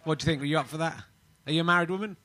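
A man's voice: on the high side, rapid-fire, heavy background noise. A man, hosting a live comedy podcast in front of an audience, teasing and laughing often. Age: 40s. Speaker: podcast host.

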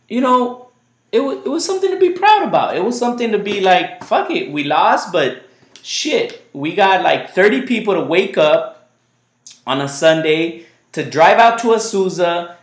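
A man hosting a casual podcast chat, rambling loosely and repeating his words, whose first language was Spanish. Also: sits high. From United States. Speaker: podcast host. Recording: echoey room.

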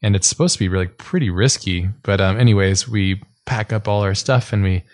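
A man's voice: fairly low pitch, brisk, darkish tone, dry recording, studio quality. A man from Hawaii hosting a podcast, telling stories with enthusiasm, swearing and using slangy words. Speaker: podcast host. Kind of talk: casual chat.

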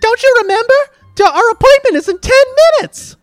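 Nagging cartoon lady, done by a man, very high squeal, impatient and dramatic, music underneath. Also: animated, a little fast, no echo. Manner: squealing, scolding, pleading.

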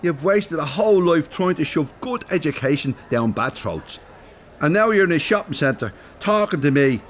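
An actor playing a blunt, teasing older Irish rogue in an audio drama, colloquial and cheeky. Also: male voice, on the high side, lively intonation, normal speed, a little hiss or hum, natural voice. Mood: coaxing.

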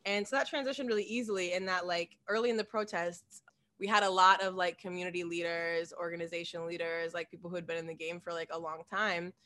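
A woman's voice: lowish voice, steady tone, quick pace, sharp tone. A woman in her twenties from Des Moines, a council candidate telling her story in a chatty way full of "like" and laughter. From Des Moines, Iowa. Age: 20s. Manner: chatty, laughs often, many "like" fillers. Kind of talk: casual interview answers.

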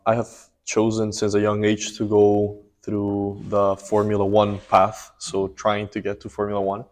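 A man in his twenties, born in Ecuador and raised in Miami, answering interview questions calmly and matter-of-factly. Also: on the low side, a little slow. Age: 20s. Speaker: guest, race car driver.

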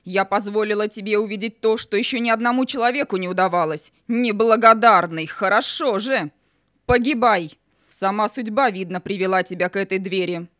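A woman's voice: mid-range voice, normal speed, fairly bright tone, no echo, clean studio sound, lightly acted. A woman playing Night, a proud and wrathful female spirit, solemn and menacing in a children's audio play. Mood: wrathful, cold. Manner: solemn, menacing, grandly reproachful.

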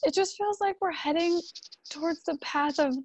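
A woman's voice: very high voice, normal speed, no room sound. A woman acting a troubled, weary grown daughter in a radio drama, speaking hesitantly. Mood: unsure, downcast. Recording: dull, lo-fi sound.

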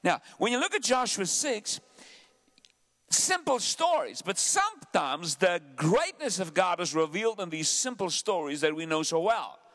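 A South African man preaching a sermon to his church, dramatic, dropping to hushed asides and then climbing loudly. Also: high voice, big pitch swings, unhurried. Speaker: pastor.

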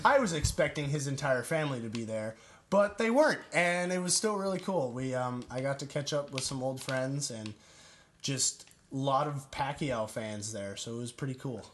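A young man on a friends' podcast, telling stories with excitement and swearing. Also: medium pitch, normal speed, slight background noise. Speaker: podcast regular. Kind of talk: casual chat.

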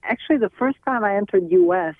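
A middle-aged woman from Serbia, speaking as a guest over the phone in an earnest, halting way with many restarts. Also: mid-range voice, lively intonation, dry recording. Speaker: film director and guest. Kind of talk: phone interview. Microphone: telephone. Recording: tinny dry phone line.

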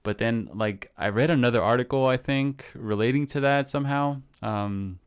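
A man's voice: mid-range voice, normal speed, smooth. A young man co-hosting a podcast, chatting casually, swearing offhandedly and acting out his own thoughts. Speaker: podcast co-host. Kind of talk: casual chat.

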